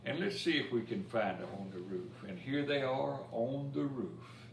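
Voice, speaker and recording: A man's voice: medium pitch, gravelly. A man reading a children's picture book of Cajun dialect verse aloud, telling it expressively with asides about the pictures. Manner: expressive storytelling, pausing to point out pictures. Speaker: story time reader. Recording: roomy, distant mic with faint noise.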